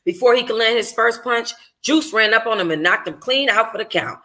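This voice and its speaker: Bright, forceful, sassy woman reader. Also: a little high, a little fast. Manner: informal, sassy, blunt. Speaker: book club host. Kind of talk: casual livestream book reading with asides.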